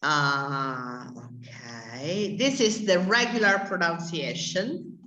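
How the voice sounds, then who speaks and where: A woman's voice: low voice, expressive, slow, bright, forceful tone, echoey room, low-quality recording. A woman teaching English to adult learners, stopping often to search for words mid-sentence. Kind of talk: classroom explanation to adult learners.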